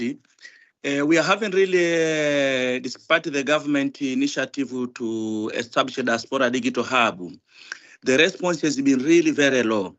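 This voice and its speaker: A man's voice: medium pitch, fairly bright tone, lo-fi sound. A man working as a government diaspora director, speaking earnestly with hesitations and repeated points during an online session. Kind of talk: remarks on a video call.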